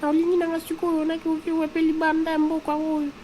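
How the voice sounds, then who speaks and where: A woman's voice: very high voice, completely flat delivery, brisk, mellow, a little hiss or hum. A Congolese woman voicing an emphatic young woman in an amateur cartoon, repeating her points. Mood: fed up. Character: fed-up young woman, football lover, longing to leave.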